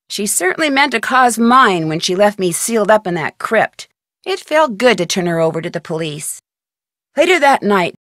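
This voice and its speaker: Actress as young lady detective telling the story for a mystery game, calm n wry, dry studio sound. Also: mid-range voice, dry recording, lightly acted. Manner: clear measured storytelling, dryly wry. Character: young woman amateur detective, composed and wry. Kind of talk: scripted game narration.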